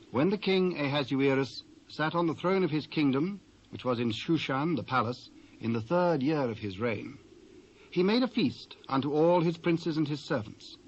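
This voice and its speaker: A British man narrating scripture in a measured, solemn storytelling manner. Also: mid-range voice. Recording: dull old cassette transfer.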